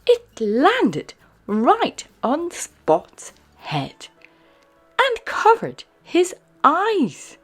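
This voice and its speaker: A woman reading a picture book aloud to children, expressively and with breathy, deliberate emphasis. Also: sits high, huge pitch swings, a bit bright. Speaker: children's storyteller. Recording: music behind the voice.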